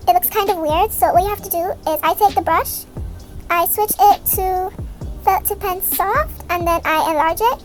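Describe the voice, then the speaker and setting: Very high-pitched young woman over music. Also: normal speed. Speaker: video creator giving a tutorial. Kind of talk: casual step-by-step tutorial voice-over. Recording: music behind the voice. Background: background music.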